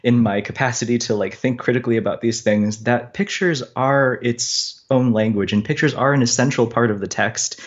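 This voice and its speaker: A man's voice: mid-range voice, moderate pace, hardly any pauses, muffled recording. A man talking as a guest cartoonist in a casual, thoughtful interview, explaining things in an articulate, chatty way. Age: adult.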